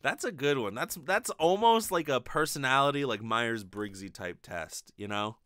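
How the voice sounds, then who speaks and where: A lively man in his twenties with a bright, higher-set voice rambles through a comedic monologue. He swears freely and keeps it casual, with his pitch swinging around as he talks into a close, dry mic. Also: moderate pace, no room sound. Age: late 20s. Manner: profane, rambling, animated. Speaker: podcast host. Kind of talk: casual comedic monologue, answering listener mail. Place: small bedroom, indoors. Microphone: close condenser microphone on desk with pop filter.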